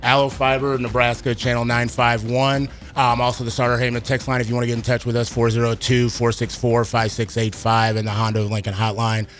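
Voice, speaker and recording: A man's voice: mid-range voice, flat delivery, a little fast, hardly any pauses, fairly bright tone. A man hosting a live radio interview from a studio, speaking into a close mic. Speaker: radio show host.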